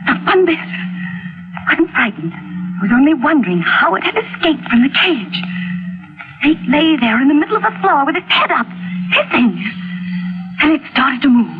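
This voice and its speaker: Haunted, high-voiced young radio wife. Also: female voice, big pitch swings, normal speed, long pauses, background noise. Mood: tense, mounting panic. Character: fragile, haunted young wife, fearful and pleading. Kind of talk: 1940s radio mystery acting and narration. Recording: tinny vintage radio recording with loud music.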